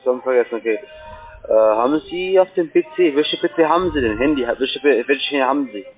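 A man's voice: medium pitch, lively intonation, brisk, soft background music. Man on a tinny phone line. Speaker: call-centre phone caller. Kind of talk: unscripted sales call.

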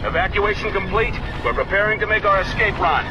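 Man acting a high-voiced military rescue pilot. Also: fairly quick, dull audio, very noisy, lightly acted. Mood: businesslike. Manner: clipped military radio reporting. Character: male rescue team pilot reporting to his commander. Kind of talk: video game voice acting.

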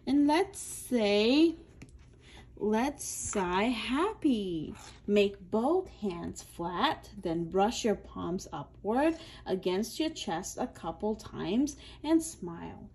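A woman's voice: medium pitch, lively intonation, very slow, darkish tone, breathy edge. A woman reading aloud to young children in an instructional way, adding her own asides and stretching out key words. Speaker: children's book reader.

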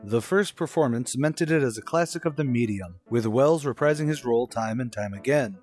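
A man's voice: mid-range voice, lively intonation, fairly quick, a little mellow, soft background music. A man hosting a radio play with a scripted theatrical introduction, formal, wry and old-fashioned.

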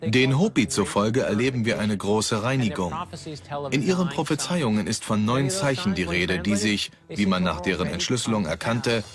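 A man's voice: fairly low pitch, lively intonation, normal speed, crowd noise, lightly acted. A man reading scripted documentary narration in a dubbed production.

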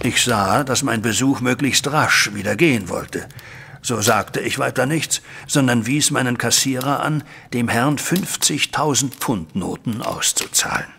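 Audio drama banker: anxious, formal, wordy, with a crisp forward tone and some fry. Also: male voice, medium pitch, unhurried, pushed and bright. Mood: recounting. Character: anxious, respectable male banker recounting his troubles. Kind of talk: audio drama acting, narrating a flashback.

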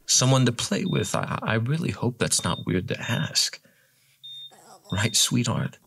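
A man playing a deranged captor in an audio horror drama, coaxing with mock gentleness and playful menace. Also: mid-range voice, animated, unhurried, vocal fry, slight background noise. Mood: falsely sweet menace.

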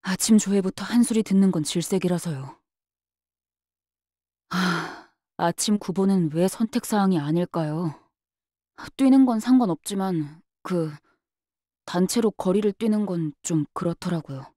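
Dry-witted young knight-bodyguard, mellow. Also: female voice, fairly low pitch, measured pace, dry recording. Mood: dry, businesslike. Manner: polite but curt, matter-of-fact, faintly sardonic. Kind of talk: scripted game character voice lines.

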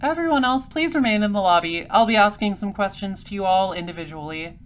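A woman's voice: medium pitch, fairly quick, natural voice. Dry, wry detective played by a woman. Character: sardonic, self-assured space patrol detective, narrating. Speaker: detective and narrator. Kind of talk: audio drama acting and first-person narration.

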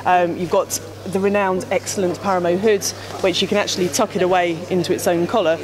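Low-voiced woman at a busy trade show. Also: steady tone, fairly quick, rough recording. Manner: explanatory, many fillers and restarts. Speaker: company representative. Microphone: handheld mic held by interviewer. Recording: crowd chatter behind.

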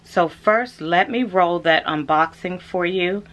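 A woman's voice: fairly low pitch, slow, fairly bright tone. A woman, chatting casually to camera as a vlogger and calling her viewers "y'all". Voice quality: raspy.

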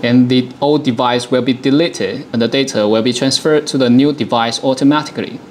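A man in his thirties presenting a software demonstration, explaining things step by step in a dialogue. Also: medium pitch, steady tone, fairly quick, slight background noise. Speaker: product presenter.

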